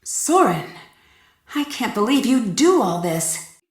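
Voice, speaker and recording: A woman playing a confident, inquisitive young female sleuth in a video game, earnest and clear. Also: fairly high pitch, big pitch swings, takes it slowly, no room sound, studio quality, exaggerated voice. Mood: accusing.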